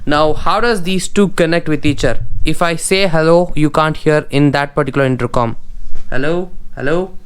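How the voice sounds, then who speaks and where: A man's voice: a little high, normal speed, smooth. A man with a South Indian Tamil accent giving a casual, explanatory product tutorial, often repeating himself as he goes. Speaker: product demonstrator.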